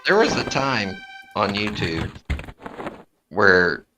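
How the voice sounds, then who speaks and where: A man chatting casually on a stream, talkative and quick to laugh. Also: lowish voice, very animated, over music. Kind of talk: casual chat.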